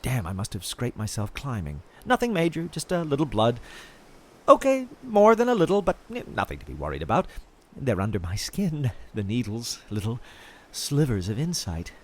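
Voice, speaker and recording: Man acting as obsessed male researcher, audio drama monologue, eerie wonder, halting phrases, extremely varied intonation. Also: medium pitch, huge pitch swings, darkish tone, slight background noise. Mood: halting, distracted. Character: eerily fascinated male field researcher slipping into madness. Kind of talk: audio fiction monologue, recorded field log.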